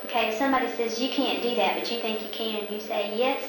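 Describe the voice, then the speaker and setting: A woman's voice: fairly high pitch, fairly even intonation, fast talker. A woman interviewer patiently coaxing answers with prompting questions. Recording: echoey, distant, noisy recording.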